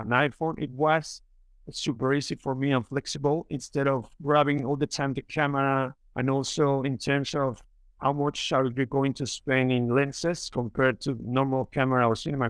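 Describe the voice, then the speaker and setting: Halting Mexican-accented man, smooth voice. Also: mid-range voice, a bit bright, dry recording. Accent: Mexican Spanish. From Mexico City. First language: Spanish. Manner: halting, many restarts and fillers. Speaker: filmmaker guest. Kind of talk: podcast interview.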